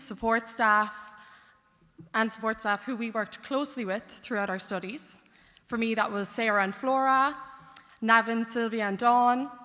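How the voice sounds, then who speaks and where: A woman's voice: on the high side, pushed and bright. A young woman in her twenties giving a heartfelt graduation speech at a lectern, with light jokes. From Ireland. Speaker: graduate speaker. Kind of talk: commencement speech. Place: large concert hall. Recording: long hall reverb.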